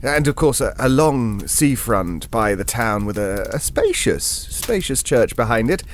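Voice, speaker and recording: A man's voice: medium pitch, very animated, a little slow, a little hiss or hum. An actor playing a genteel older Englishman, telling a ghost story in an audio drama in a hesitant, digressive, politely formal and wistful way. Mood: fond, nostalgic.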